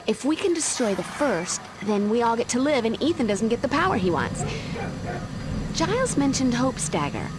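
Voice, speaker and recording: A young American actress playing a determined demon slayer in a video game, dry and matter-of-fact. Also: female voice, on the high side, very animated, moderate pace, noisy background. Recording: music behind.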